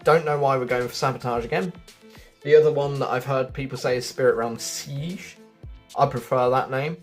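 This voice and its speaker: Guy doing chatty casual game commentary for his viewers, mid pitched voice, bit of music behind. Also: a little mellow. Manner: chatty, addresses viewers as folks and guys. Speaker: video game news YouTuber. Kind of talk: casual gaming commentary vlog. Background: background music.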